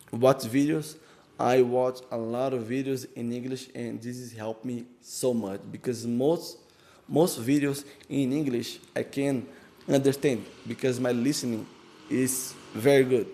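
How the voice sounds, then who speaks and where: Slow, gravelly man. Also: mid-range voice, warm tone. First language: Portuguese. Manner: halting learner English with restarts and fillers. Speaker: vlogger. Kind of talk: casual English practice vlog. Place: empty room he found with an open door.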